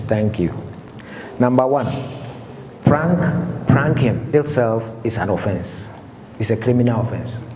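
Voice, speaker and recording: A man teaches fire safety in a large hall, often checking whether his listeners are following. His voice is dark and mellow. The room gives it a long, echoing tail over a faint background hum. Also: on the low side. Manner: explanatory, checks understanding with the audience. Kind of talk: seminar lecture.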